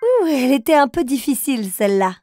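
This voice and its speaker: A patient mother figure guides young children through cartoon riddles. Her voice sits fairly high and she speaks in an encouraging way, with some music playing behind her. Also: sing-song, fairly quick. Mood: playful, teaching.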